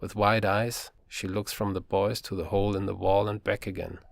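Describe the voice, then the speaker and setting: Low gravelly male audiobook narrator. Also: no echo. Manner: calm, measured reading.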